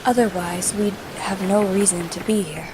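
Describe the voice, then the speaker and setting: A woman playing a serious, pragmatic superhero from the future in a comic dub, measured and explanatory. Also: medium pitch, fairly even intonation, lightly acted. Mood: grave. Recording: noisy home recording.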